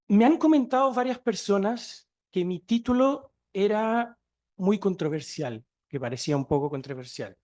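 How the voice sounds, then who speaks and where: A man's voice: high voice, a little mellow. A Portuguese man speaking Spanish with a Chilean-tinged accent, giving an academic seminar talk in a hesitant way with many fillers and restarts. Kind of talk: academic lecture. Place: lecture hall.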